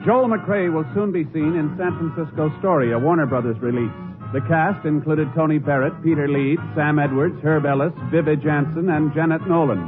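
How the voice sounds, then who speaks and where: High-pitched formal male radio announcer over music. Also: fairly even intonation, normal speed. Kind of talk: formal 1950s scripted announcing. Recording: narrow-band old radio with loud music.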